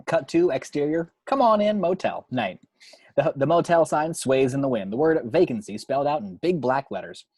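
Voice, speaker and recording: A man's voice: fairly high pitch, animated, normal speed, darkish tone, no echo, studio quality. A man reading screenplay action and scene headings as narrator for a table read at home. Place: at home, dry close recording.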